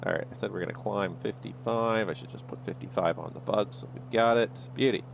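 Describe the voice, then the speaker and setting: A man with a low voice and a gravelly edge to it. He is streaming a flight sim and thinks aloud in an easygoing way. Faint noise sits under the voice. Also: fairly quick, rough recording. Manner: easygoing, talks himself through each step. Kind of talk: livestream commentary, thinking aloud.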